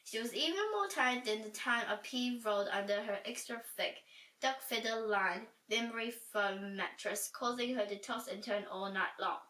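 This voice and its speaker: A woman reads a children's story with animated, dramatic delivery. Her voice is fairly high and bright. It sounds roomy and echoey, set back from the microphone. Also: normal speed. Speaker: storybook reader. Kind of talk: expressive children's story reading. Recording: roomy echo, distant from mic.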